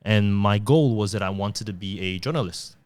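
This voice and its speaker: Middle-aged male guest, low smooth voice, relaxed and chatty, dry close sound. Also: moderate pace, dry recording. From born in Taiwan, raised in Singapore. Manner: relaxed, chatty, self-deprecating. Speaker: podcast guest, digital marketer. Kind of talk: casual interview conversation.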